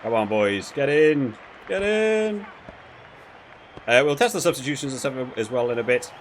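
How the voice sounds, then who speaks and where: Man giving halting game commentary over noise. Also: mid-range voice, sing-song, a faint crowd. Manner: rambling, halting, repeats words. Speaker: video game reviewer and player. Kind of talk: casual gameplay commentary.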